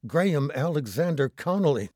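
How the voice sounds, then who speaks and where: A man acts out a male castaway pilot in an audiobook, desperate and full of panic. The delivery is urgent and emphatic, crying out with wide swings of pitch. The sound is close and dry. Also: animated, moderate pace, dark tone, no room sound, lightly acted. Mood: self-reproach.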